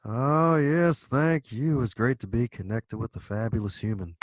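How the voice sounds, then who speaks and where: A man's voice: mid-range voice, lively intonation, moderate pace, no room sound. A man hosting a podcast, upbeat and polite, cracking light jokes with his guest. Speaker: podcast host. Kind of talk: casual podcast interview.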